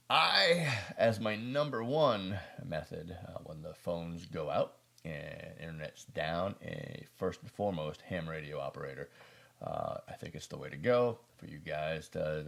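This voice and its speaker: A man's voice: low voice, gravelly, fairly bright tone. An American man hosting a prepper video, talking casually through a product review in a rambling, folksy, self-deprecating way.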